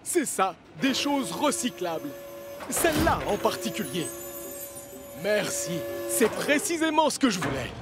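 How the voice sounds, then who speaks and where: High, swooping, jovial cartoon scrapyard machine. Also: male voice, a little slow, over music. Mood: pleased, grateful. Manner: jovial, animated. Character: busy, good-natured talking junkyard scrap machine, male. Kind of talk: French cartoon dubbing.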